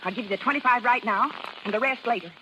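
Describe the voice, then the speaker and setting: A woman's voice: on the high side, fast, lo-fi sound, natural voice. An actress playing a bitter, jilted, scheming carnival showwoman with slangy, tough American talk, in 1930s radio drama style. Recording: noisy 1930s radio recording.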